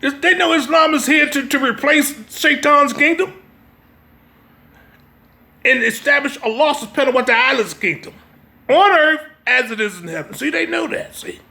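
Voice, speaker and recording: A man's voice: very high-pitched, lively intonation, moderate pace, gravelly, pushed and bright. An American man giving an impromptu sermon as a religious teacher, emphatic and exhortative, often checking that listeners understand. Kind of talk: impromptu sermon with brief reading.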